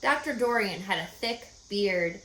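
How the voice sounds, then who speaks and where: A woman's voice: medium pitch, expressive, unhurried, a bit bright. A woman reading a children's story aloud as scripted narration. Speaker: audiobook reader. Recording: echoey room, faint background noise.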